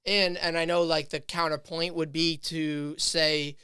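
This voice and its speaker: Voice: mid-range voice, flat delivery, a little slow, bright, forceful tone, clear, resonant tone, no room sound, studio quality. A podcast host thinking aloud in casual chat, rambling with many fillers and restarts.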